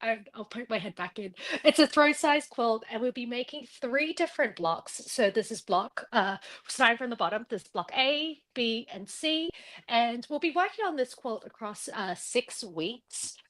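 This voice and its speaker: A woman's voice: fairly high pitch, animated, moderate pace, a bit bright. Friendly rambling woman explaining quilting. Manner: friendly, rambling, many fillers. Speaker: guest quilting instructor. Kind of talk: casual demonstration.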